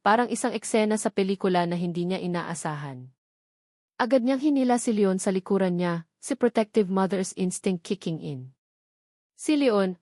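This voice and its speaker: A woman's voice: mid-range voice, darkish tone, dry recording. Female storyteller, Filipino accent, mid-range voice, emotive scripted drama narration, suspenseful phrasing, very dry recording.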